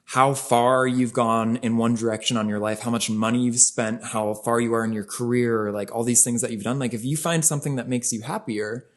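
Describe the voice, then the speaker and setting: A man's voice: medium pitch, steady tone, brisk, hardly any pauses. A young man co-hosting a podcast, explaining ideas casually and peppering his talk with "like". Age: young adult. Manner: explaining, casual, lots of "like" fillers. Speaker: podcast co-host. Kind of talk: casual conversation.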